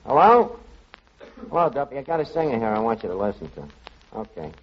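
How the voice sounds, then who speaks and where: An actor playing a scheming, wisecracking tavern manager in a 1940s radio comedy. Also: male voice, medium pitch, very animated, a little fast. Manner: wisecracking, mangled phrases. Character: fast-talking, scheming tavern manager who mangles his words. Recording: dull, noisy vintage radio recording.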